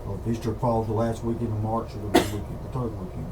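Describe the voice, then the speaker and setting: A man in casual radio chat, making quick suggestions and corrections. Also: lowish voice, little pitch movement. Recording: background noise.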